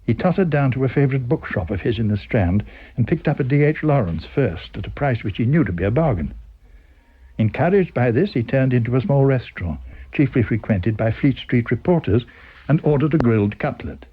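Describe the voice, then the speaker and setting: A British man narrates a story with lively, expressive intonation. His voice has a husky edge, and the radio recording is slightly dull. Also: medium pitch, a little fast. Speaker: story reader. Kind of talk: dramatic scripted story narration.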